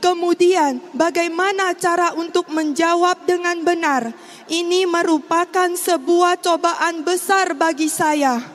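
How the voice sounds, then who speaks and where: A middle-aged woman from Riau with an Indonesian accent, giving a formal, measured prepared testimony. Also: extremely high pitch, steady tone. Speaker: testimony speaker. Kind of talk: prepared personal testimony speech. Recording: long hall reverb, faint background noise.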